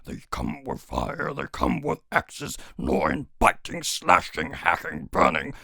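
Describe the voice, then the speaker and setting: A man voicing an ancient, wrathful forest giant with a gravelly growl, in a dramatic fantasy film style. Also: lowish voice, wildly swooping pitch, takes it slowly, pushed and bright, voice pushed down, full character voice. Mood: furious.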